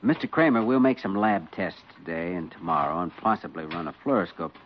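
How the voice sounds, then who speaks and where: Easygoing young doctor, lowish lively voice, soothing, hissy muffled old radio drama. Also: male voice, fairly quick. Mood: professional. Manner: soothing, hesitant, reasonable. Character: patient, good-natured young male hospital doctor. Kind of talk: 1940s radio drama acting. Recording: noisy, dull old radio recording.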